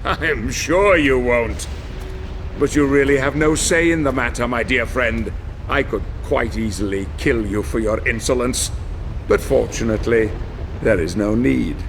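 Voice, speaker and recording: An actor playing a treacherous older sorcerer villain in a video game, smug and silky, with a gravelly, theatrical, measured delivery. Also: male voice, medium pitch, a little hiss or hum, close to an everyday voice. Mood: smug, gloating. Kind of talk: dramatic video game cutscene acting.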